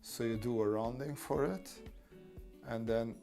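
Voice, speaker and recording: Man, gravelly voice, mid pitch, casual stream chat, demos drawing, faint music behind. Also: a little slow, mellow. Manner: chatty, talks viewers through drawing steps. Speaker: artist streamer answering chat.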